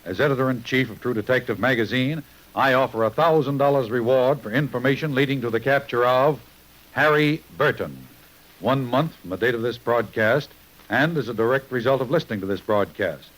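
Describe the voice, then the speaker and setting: A man's voice: medium pitch, a little fast, fairly bright tone, poor audio. A man narrating a 1950s radio crime show as its editor-host, formal, dramatic and emphatic.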